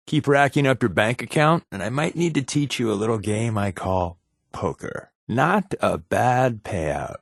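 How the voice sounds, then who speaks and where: An actor playing a wisecracking undercover agent and loyal sidekick in a video game, with an American accent and a breezy, sarcastic way of talking. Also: male voice, mid-range voice, a bit bright, dry recording, clean studio sound, exaggerated voice. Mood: teasing, relaxed. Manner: sarcastic, breezy, casual quips. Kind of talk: game companion voice lines.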